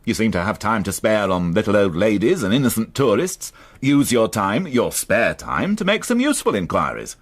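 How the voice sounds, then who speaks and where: Police inspector, lowish husky voice, curt, sardonic, dramatically varied pitch, radio crime drama. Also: male voice, sing-song, normal speed. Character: irritable, sardonic, suspicious male police inspector.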